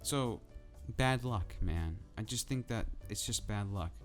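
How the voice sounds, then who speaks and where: A man ranting casually to camera about football in a soft, calm, drowsy way. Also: lowish voice, measured pace, soft background music. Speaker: football vlogger.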